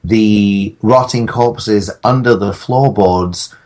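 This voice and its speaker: A man's voice: on the low side, slow pace, a little mellow. A man bantering casually on a podcast in a wry, joking and slightly rambling way.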